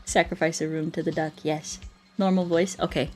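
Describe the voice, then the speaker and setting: A woman's voice: sits low, flat delivery, moderate pace, a little mellow, faint music behind. A woman livestreamer in casual, playful, rambling chat, answering her viewers aloud as she plays a game.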